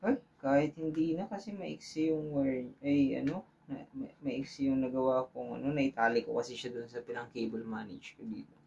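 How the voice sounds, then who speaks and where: Gravelly Filipino man giving a casual tutorial. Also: medium pitch, steady tone, moderate pace, dark tone, muffled recording. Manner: informal, thinking aloud, checks in with viewers. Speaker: tutorial host. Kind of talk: casual hands-on tutorial talk.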